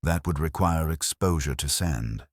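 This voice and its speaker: A man voicing a haughty, fastidious middle-aged detective in an audiobook, with pompous, formal pronouncements. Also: a bass voice, expressive, no echo, clean studio sound, full character voice. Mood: stubborn.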